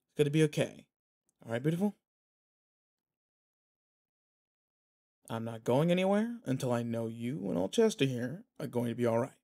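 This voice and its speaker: A man acting in an audio roleplay as a protective, teasing, rough-edged but kind pretend boyfriend, talking intimately and casually. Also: mid-range voice, a little mellow, close to an everyday voice. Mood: gentle, reassuring.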